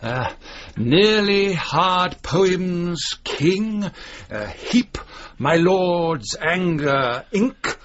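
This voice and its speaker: An actor with an English accent playing a fussy, hesitant and pompous university professor in a radio comedy drama, with a husky voice. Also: male voice, sits high, big pitch swings, drawn-out words, fairly bright tone, muffled recording, full character voice. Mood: dithery, musing. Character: fussy, dithery, donnish Oxford professor.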